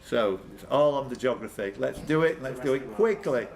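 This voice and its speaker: A man's voice: medium pitch, animated, moderate pace, vocal fry. A man hosting a live pub quiz as quiz master, reading questions and tossing out bantering, jokey asides. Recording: background room noise.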